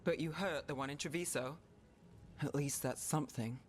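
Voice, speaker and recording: A woman as a terse, low-voiced city defender. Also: normal speed, a little hiss or hum, close to an everyday voice. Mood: weary, tense. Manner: terse, serious. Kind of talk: video game dialogue acting.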